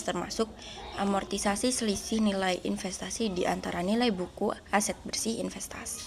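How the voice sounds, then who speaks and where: A young woman presents as a student in an explanatory style. Her pitch is average for a woman, with faint noise in the recording. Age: young adult.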